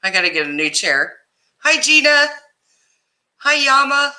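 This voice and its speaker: A woman hosting a casual livestream, greeting viewers by name in a loud voice that carries, chatting and laughing easily. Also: sits high, very animated, a little slow. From Ohio, USA. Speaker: livestream host.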